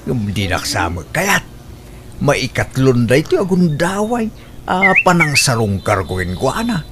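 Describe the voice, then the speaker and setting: A man's voice: medium pitch, very animated, a little slow. A man playing an eager, playful old suitor with an Ilocano accent in a comedy radio drama, drawing out words and exclaiming. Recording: noisy recording.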